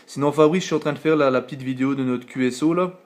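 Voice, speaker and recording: A man's voice: medium pitch, fast talker. A man with a French accent on a late-night CB radio contact, rambling casually with many fillers, talking into his radio mic at home. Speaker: CB radio operator. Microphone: radio operator's microphone, filmed on phone.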